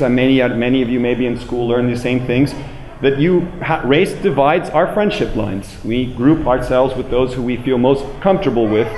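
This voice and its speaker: An American man delivers a public lecture with emphatic, deliberate phrasing, repeating himself for weight. His voice is medium-pitched and carries through a roomy, echoing space with some background noise. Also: a little mellow. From United States. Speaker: public speaker. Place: indoor room with plain walls, speaking to an audience. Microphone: handheld microphone, later a small clip-on mic.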